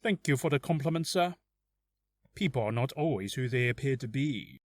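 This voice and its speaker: A man's voice: mid-range voice, animated, natural voice. Man acting a courteous, menacing assassin with swooping delivery. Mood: calm menace. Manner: courteous, measured, deliberate. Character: polite, menacing male assassin making ransom demands. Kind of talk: video game character voice acting.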